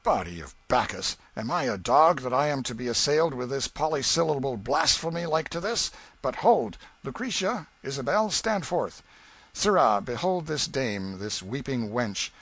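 A man's voice: mid-range voice, very animated, normal speed, fairly bright tone, no room sound. A man voicing a brutal, pompous tyrant count from a storybook, with bombastic, threatening archaic speech. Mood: blustering rage.

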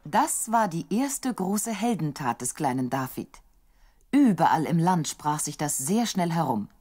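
A woman narrating a Bible audio drama as scripted, measured storytelling. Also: deep voice, big pitch swings, dark tone.

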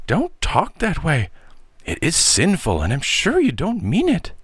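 A man voicing a gentle, credulous storybook aunt of thirty, fussing and coaxing. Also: sits high, huge pitch swings, unhurried, no room sound, clean studio sound, pitched above the performer's own voice, full character voice. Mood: horrified, pleading.

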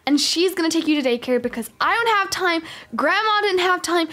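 A spoiled, easily exasperated rich schoolgirl and young mum played by a woman. She has a high voice and sighs and grumbles to herself as she goes through an improvised game roleplay. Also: expressive, brisk, sharp tone, exaggerated voice. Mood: coaxing, exasperated. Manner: sighing, complaining, talking to herself.

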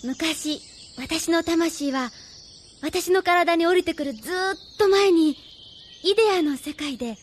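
An actress playing a curious, spirited teenage girl in a radio drama, expressive and full of wonder. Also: very high-pitched, slow, slight background noise. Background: music.

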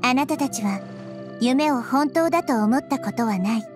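A woman playing a wise, gentle childlike girl deity in an anime game, explaining things in a measured, thoughtful way. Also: high voice, lively intonation. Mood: calm, explanatory. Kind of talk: Japanese anime game voice acting. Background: background music.

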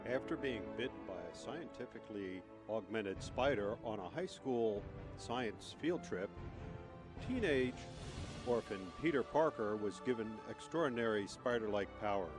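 Man as a low-voiced dramatic superhero storyteller. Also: animated, a little slow. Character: dramatic male storyteller introducing a superhero tale. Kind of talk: scripted radio play narration. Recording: music bed behind.

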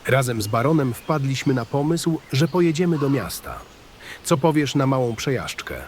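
A man's voice: medium pitch, big pitch swings, moderate pace, a little hiss or hum, natural voice. A man voicing a good-natured, apologetic writer and country host in a fantasy audio drama, folksy, polite and eager to please. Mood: cheerful, eager.

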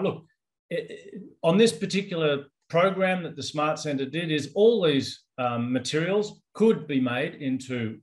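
A man in his fifties with an Australian accent, presenting research in a webinar over a video call, in a loose conversational way with many ums and restarts. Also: medium pitch. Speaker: company executive presenting research. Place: indoors, office with branded backdrop.

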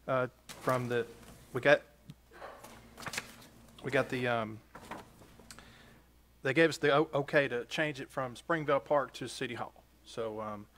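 A man speaking haltingly as a council member at a formal public meeting. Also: mid-range voice, fairly even intonation, fairly bright tone, a little hiss or hum. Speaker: vice mayor, council member. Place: council chamber.

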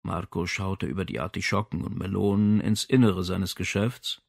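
A man's voice: low voice, steady tone, moderate pace, creaky, dry recording, clean studio sound. A man reading a crime novel as an audiobook narrator in a scripted literary style.

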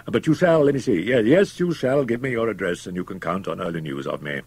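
A man's voice: lowish voice, fairly quick, darkish tone, husky, no echo, voice pushed up. A man voicing a pompous, uneasy elderly London doctor in a gothic audiobook, genteel and patronizing but flustered underneath. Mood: effusive, placating. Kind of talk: dramatized audiobook of a gothic tale.

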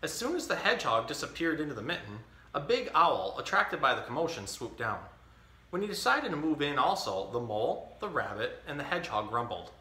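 Expressive man reading in an echoey room. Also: a little high, fairly quick. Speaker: storytime host. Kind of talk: reading a children's picture book aloud. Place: indoor tiled-floor room. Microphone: camera a few feet away.

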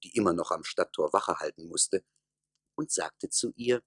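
A man's voice: low-pitched, moderate pace, fairly bright tone, breathy edge, dry recording, studio quality, heavily acted. A man narrating a satirical folk tale as the storyteller in a scripted radio play.

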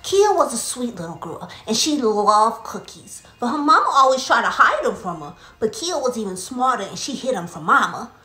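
A mischievous little girl played by a grown woman spins tall tales for a comedy skit. The voice sits fairly high, with theatrical, stretched-out delivery. It rings in a roomy space with faint music behind it. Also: big pitch swings, sharp tone. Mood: spooky storytelling. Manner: theatrical, drawn-out storytelling. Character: mischievous little girl Coco, cookie-loving tall-tale teller. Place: small bedroom, indoors.

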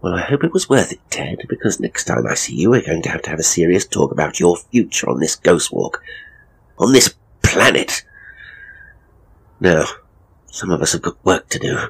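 Deep, husky, pompous British ghost tour guide played by a man. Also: big pitch swings, moderate pace, exaggerated voice. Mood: irritated. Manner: pompous, wordy, theatrical. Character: hapless, pompous, grumbling middle-aged male ghost tour operator. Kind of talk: theatrical horror audio drama monologue.

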